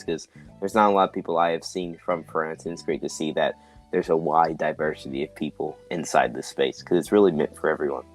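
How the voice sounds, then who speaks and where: A man hosting a podcast interview, upbeat and encouraging, often repeating "awesome" and "exactly". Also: extremely low pitch. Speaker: podcast host.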